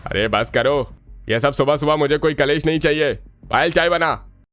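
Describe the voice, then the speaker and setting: A man's voice: fairly high pitch, moderate pace, muffled recording, faint music behind, voice pushed up, close to an everyday voice. A man voicing an irritable middle-aged uncle in a cartoon family story. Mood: irritated, stern.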